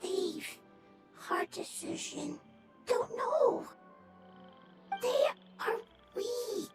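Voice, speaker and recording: Shy small game creature: childlike, piping high, halting clipped phrases, reverberant, music behind. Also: female voice, animated, heavily acted. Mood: wary, halting. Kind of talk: video game creature acting.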